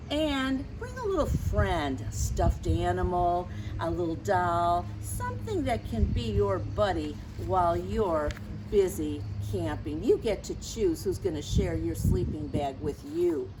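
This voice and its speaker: A woman reading a picture book aloud to young children, chatting to them directly with questions and gentle reminders in a teacherly way. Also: lowish voice. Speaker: story time reader for children. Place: outdoors near lawns being mowed. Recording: outdoor noise, mower and birds.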